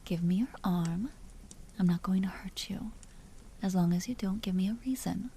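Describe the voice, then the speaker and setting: A woman voicing a dominant, teasing vampire duchess in an intimate audio roleplay, often dropping into breathy whispers. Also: mid-range voice, moderate pace, dark tone, a little hiss or hum, lightly acted. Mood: calmly threatening. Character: dominant female vampire duchess, seductive, teasing, coolly imperious. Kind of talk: scripted audio roleplay, intimate monologue to listener.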